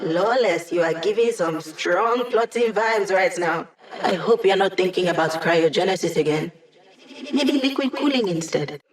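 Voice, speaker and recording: A woman's voice: on the low side, normal speed, close to an everyday voice. An actress voicing a cocky, sardonic young female hacker who lives as a hologram in a video game, with slangy, teasing delivery. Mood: wry. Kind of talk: video game character voice lines.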